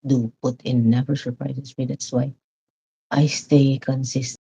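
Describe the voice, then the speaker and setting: A woman from the Philippines chatting loudly and casually with live viewers, laughing often. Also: a bass voice, level tone, unhurried, vocal fry, breathy edge, rough recording. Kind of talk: casual live-stream chat.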